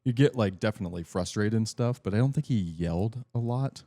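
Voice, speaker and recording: A man in his thirties with a naturally deep voice, co-hosting a casual podcast and thinking back aloud with lots of fillers. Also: expressive, fairly quick, warm tone, dry recording, studio quality. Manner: loose, reflective, filler-heavy talk. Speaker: podcast co-host. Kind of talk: casual chat.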